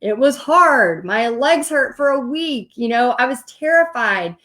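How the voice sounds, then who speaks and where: A woman's voice: high voice, animated, unhurried, fairly bright tone. A middle-aged woman with a Texas accent giving an informal online coaching talk in a chatty, storytelling manner full of asides. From Texas, United States. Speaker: host and paint party teacher.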